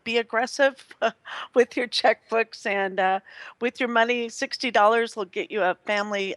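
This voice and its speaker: A woman's voice: mid-range voice, a bit bright, no room sound. Effusive, chatty woman radio host. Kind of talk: casual unscripted radio talk. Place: live internet radio broadcast.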